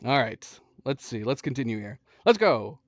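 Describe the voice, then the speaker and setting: A man's voice: medium pitch, sing-song, normal speed, no echo, highs cut off. A man with an American accent giving casual live commentary while playing a video game, chatting with viewers and laughing often. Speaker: gaming streamer. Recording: game music underneath.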